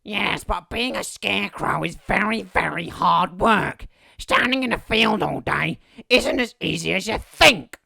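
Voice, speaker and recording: A man's voice: sits high, animated, a little slow, gravelly, sharp tone, no room sound, voice pushed up, exaggerated voice. A man voicing a fretful, hard-working scarecrow from a children's show. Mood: put-upon.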